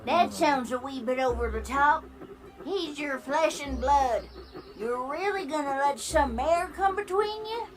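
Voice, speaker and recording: Feisty high-pitched old country granny pony. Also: female voice, measured pace, crowd noise. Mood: probing. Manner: folksy drawl, scolding, animated. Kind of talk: cartoon comic dub acting. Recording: loud music underneath.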